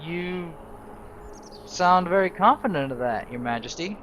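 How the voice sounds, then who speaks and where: A man's voice: high voice, expressive, unhurried, a bit bright, a little hiss or hum. A man voicing a polite, hesitant young female mage recruit in a video game role play, tentative and deferential. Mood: doubtful.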